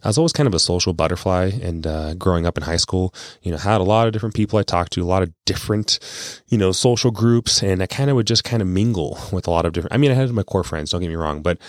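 A man's voice: low voice, quick pace, no echo, studio quality. A man talking in a chatty, informal, rambling way, as an investment adviser hosting his own casual podcast. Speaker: investment adviser and show host. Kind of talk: casual monologue on a financial podcast.

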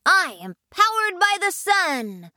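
A woman voicing an eager young boy tree in a storybook reading, with a slow, wide-eyed, sing-song delivery. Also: high-pitched, big pitch swings, bright, forceful tone, no room sound, studio quality, voice pushed up, exaggerated voice. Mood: earnest. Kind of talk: storybook character voice.

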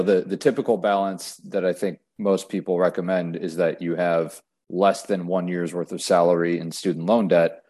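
Young man, low voice, Wisconsin-raised, measured podcast guest on a remote call. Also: darkish tone. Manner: measured, explanatory, some restarts. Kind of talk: casual podcast interview.

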